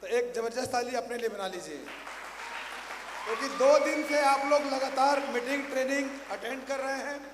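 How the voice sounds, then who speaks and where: Hoarse high-voiced man rousing a packed hall. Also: normal speed, noisy background. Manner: fires questions at the crowd and repeats them louder. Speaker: motivational speaker at a company training event. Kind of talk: rousing stage speech to a large audience. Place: large hall. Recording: echoey hall, faint ambience.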